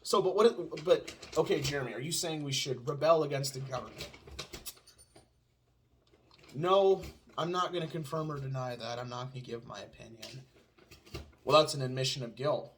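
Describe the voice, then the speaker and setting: A man's voice: medium pitch, moderate pace, pauses often, slight background noise. Southern twang guy ranting sarcastic at home, asks himself fake questions and answers them. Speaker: podcast co-host. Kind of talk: casual opinionated chat.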